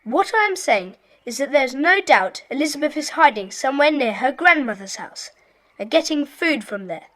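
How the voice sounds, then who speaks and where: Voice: high voice, animated, moderate pace, fairly bright tone. A performer playing a bossy, decisive British schoolboy club leader in a children's audio drama, speaking emphatically. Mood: firm. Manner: emphatic, commanding.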